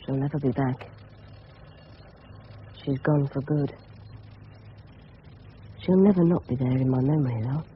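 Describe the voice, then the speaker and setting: A man's voice: mid-range voice, unhurried, pauses often, close to an everyday voice. An actor playing an earnest, cocky English teenage boy in a radio drama, with a well-spoken southern English accent. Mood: wistful. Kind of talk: 1970s radio drama acting. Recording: dull, noisy old radio broadcast.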